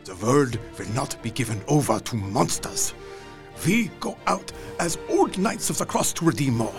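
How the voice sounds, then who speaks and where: Van Helsing, gravelly old vampire hunter. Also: male voice, medium pitch, animated, normal speed, over music. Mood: rousing. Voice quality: broken grammar, grand declamatory delivery. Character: Van Helsing, elderly Dutch vampire hunter, resolute and fatherly. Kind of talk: audio drama acting.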